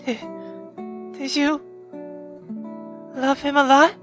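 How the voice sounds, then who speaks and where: A man's voice: very high voice, little pitch movement, slow, fairly bright tone, faint music behind, voice pushed up, full character voice. A man voicing a frightened young woman who pleads emotionally with her mother, her delivery tremulous, in a visual novel reading. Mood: tentative.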